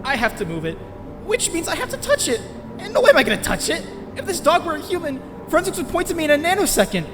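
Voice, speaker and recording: Anxious, driven teen boy narrator for a comic dub, intense and very animated, with music underneath. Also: high voice, fast. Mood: horrified. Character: Greg, anxious, driven teenage boy narrating his own story. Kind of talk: dramatic first-person narration in a comic dub.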